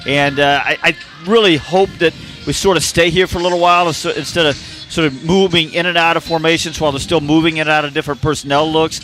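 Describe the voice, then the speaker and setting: A man giving casual radio soccer analysis in a folksy, chatty way, with many "uh" pauses. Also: a little high, fairly even intonation, sharp tone, soft background music. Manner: folksy and chatty, frequent uh fillers.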